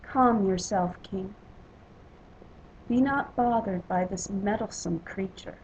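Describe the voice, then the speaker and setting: A woman's voice: mid-range voice, slow, a little mellow, slight background noise, lightly acted, low-quality recording. A woman voicing a proud, protective mother goddess with a regal, firm manner. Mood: soothing.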